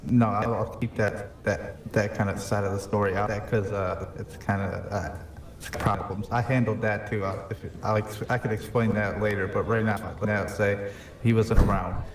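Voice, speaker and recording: A man's voice: on the low side, fairly even intonation, moderate pace, few pauses, reverberant, recorded from a distance, noisy background, natural voice. A man improvising a young male mafia hitman with a New York accent, rambling and hesitant as he tells his backstory over voice chat. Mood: boastful. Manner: rambling, hesitant, full of "you know". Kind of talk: improvised character in a game voice chat.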